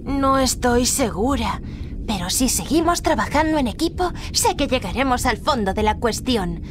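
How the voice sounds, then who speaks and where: A woman playing a sweet, hopeful teenage mermaid princess in a video game, earnest and expressive. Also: on the high side, normal speed, over music, natural voice. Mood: surprised, hopeful. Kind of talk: video game character acting.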